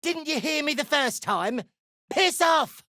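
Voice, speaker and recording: A woman voicing a blunt, scornful deep gnome in a video game, suspicious and foul-mouthed. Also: lively intonation, slow, pushed and bright, no room sound, studio quality, full character voice. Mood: irritated, scornful. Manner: blunt, scornful, curses freely. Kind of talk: video game character dialogue.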